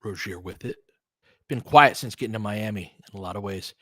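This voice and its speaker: A man's voice: fairly low pitch, brisk, fairly bright tone, no echo, studio quality. A man doing informal, opinionated basketball play-by-play, mixing clipped calls of the action with casual chat to his listeners. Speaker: host and play-by-play commentator of a basketball livestream. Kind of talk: casual fan play-by-play commentary mixed with chat.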